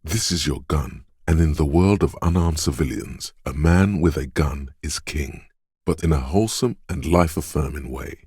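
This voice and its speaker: A man voicing an inner voice of physical instinct in a video game, advising and narrating in a deliberate, precise, instructing way. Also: very deep voice, a little mellow, husky, no room sound, studio quality, full character voice. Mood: reverent, solemn. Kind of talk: video game voice-over, second-person narration.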